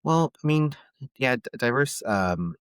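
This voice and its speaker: A man from Palestine, a guest on a podcast, speaking thoughtfully and pausing often to search for words. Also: medium pitch, lively intonation, clear, resonant tone, no echo, studio quality. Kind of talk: reflective conversation.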